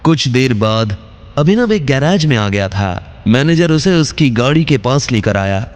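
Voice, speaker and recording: Male narrator, medium pitch, animated intonation, scripted dramatic storytelling. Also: measured pace.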